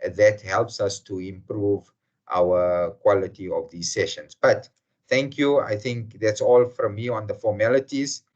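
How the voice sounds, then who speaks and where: A man's voice: lowish voice, steady tone, a little mellow. Hesitant man hosting a video call. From South Africa. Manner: slow and hesitant, many fillers. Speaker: webinar host. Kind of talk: formal welcome to an online session. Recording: muffled video call audio.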